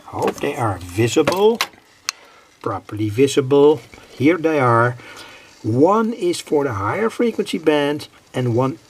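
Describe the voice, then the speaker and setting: A man with a Dutch accent, a native Dutch speaker, explaining a homemade device in an unscripted, halting and deliberate way, often pausing mid-sentence. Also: mid-range voice, big pitch swings, noisy background. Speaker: electronics hobbyist presenter.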